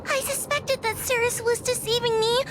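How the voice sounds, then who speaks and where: A woman's voice: very high voice, steady tone, moderate pace, noisy background. A woman playing a timid, tearful young fox girl in a video game, sweet and apologetic, with a hesitant, trembling, plaintive delivery. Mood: plaintive, tender. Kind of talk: animated video game voice acting. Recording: voice over loud game music. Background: game music.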